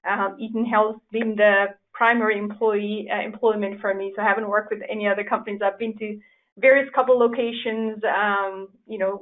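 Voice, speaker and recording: A woman from Nepal presents at a conference over a video call, hesitating often and restarting her sentences. Her voice sits in an ordinary middle range. The audio is narrow and tinny, like a phone line. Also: mid-range voice. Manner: hesitant with frequent fillers and restarts.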